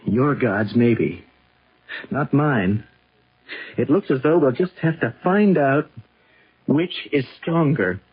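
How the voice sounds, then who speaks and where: A man's voice: medium pitch, lively intonation, takes it slowly, long pauses. An actor playing an earnest, defiant young man in love, urgent and insistent, in a seventies radio drama. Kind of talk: 1970s radio drama acting. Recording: dull vintage radio recording.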